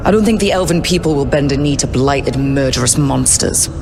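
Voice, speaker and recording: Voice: medium pitch, brisk, gravelly, background noise, natural voice. A performer playing a young elven scout who talks plainly and directly and asks blunt questions, in a video game cutscene.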